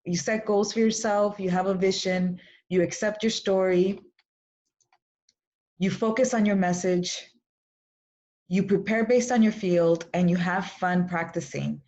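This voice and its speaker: A woman's voice: medium pitch, fairly even intonation, unhurried, a little mellow. A young woman from Los Angeles, who grew up speaking Spanish, hosts a casual webinar, encouraging and often restarting her phrases. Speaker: webinar host and coach. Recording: slightly dull lo-fi webinar audio.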